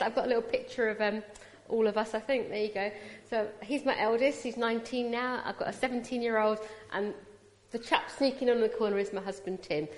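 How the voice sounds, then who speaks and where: A woman in her forties from Punjab, India, with a British English accent, giving a chatty personal testimony as a guest speaker at church and teasing the audience to react. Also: medium pitch. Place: church hall, indoors. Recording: long reverb of a large hall.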